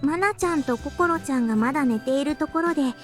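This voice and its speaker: A high, quick-spoken teenage shrine girl, played by a woman with gentle, formal manners. She is polite and apologetic, in the manner of a Japanese visual novel heroine, with faint music underneath. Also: lightly acted. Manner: soft, formal and courteous.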